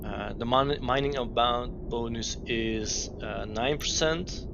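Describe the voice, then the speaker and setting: A man from Belgium streaming a game, explaining his setup in halting speech full of fillers and restarts. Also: mid-range voice. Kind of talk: live-stream gameplay commentary. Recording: dull lo-fi mic with clear noise.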